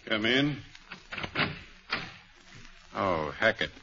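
A man's voice: on the low side, expressive, drawn-out words, vocal fry, loud music behind the voice, exaggerated voice. An actor playing a wry aging male matinee idol in a 1940s radio drama. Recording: dull old radio broadcast.

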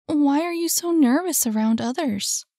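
A woman reading a storybook and voicing a gentle, caring young woman who speaks softly and pleadingly to a troubled friend. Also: sits high, normal speed, no echo, clean studio sound, pitched above the performer's own voice. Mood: tender. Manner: soft, pleading, concerned.

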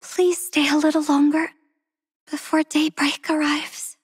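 Soft, tender game heroine, young and devoted, high-pitched, slow and breathy, dry studio sound. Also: female voice, little pitch movement, dry recording. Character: gentle devoted young woman healer, soft-spoken and tender. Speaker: voice actress for a game character. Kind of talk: game character voice lines.

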